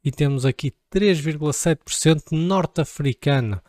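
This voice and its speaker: A man's voice: a little high, normal speed, a little mellow, no echo, studio quality. A young man from Portugal with a European Portuguese accent, chatting casually to camera in a joking, rambling way. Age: young adult. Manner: joking, rambling, playful asides. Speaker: vlogger.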